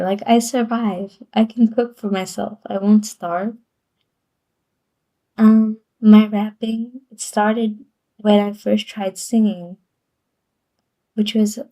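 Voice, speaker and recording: A woman's voice: fairly high pitch, warm tone. A woman streamer chatting casually on a livestream, rambling and giggling often between sentences.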